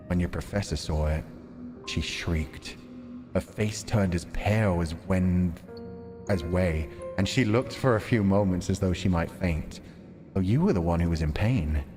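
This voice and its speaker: Male game streamer, very low voice, storytelling read-aloud, music underneath. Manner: measured, deliberate storytelling delivery when reading. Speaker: livestreamer reading a visual novel aloud. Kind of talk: dramatic read-aloud narration with casual stream chat. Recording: long reverb, music behind.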